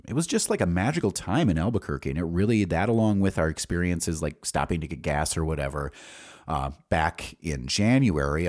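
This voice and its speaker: An American man hosting a podcast, telling personal stories in a casual, halting, rambling monologue with some casual swearing. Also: low-pitched, a little mellow. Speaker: podcast host.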